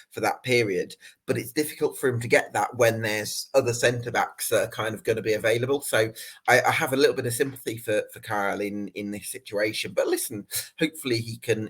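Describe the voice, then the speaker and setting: Fan-podcast host, man, somewhat low voice, halting questions full of ums. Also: a bit bright, slightly rough. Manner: filler-heavy, restarts questions. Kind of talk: casual podcast interview.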